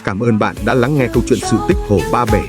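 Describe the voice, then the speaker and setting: A man's voice: mid-range voice, fast talker. A man telling a folk tale as a scripted storytelling narration. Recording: music bed under the voice. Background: music.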